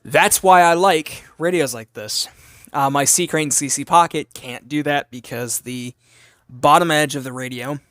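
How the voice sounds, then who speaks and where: A man's voice: a little high, unhurried, a bit bright, clean tone, no echo, clean studio sound. A man giving a casual hands-on gadget review as a channel host, informal and repetitive as he thinks aloud.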